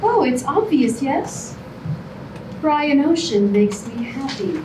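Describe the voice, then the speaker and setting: A woman's voice: high voice, sing-song, slow pace, full character voice. A woman playing an earnest, pleading contestant with careful, formal phrasing in a staged comedy reading. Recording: roomy, distant, noisy live stage recording.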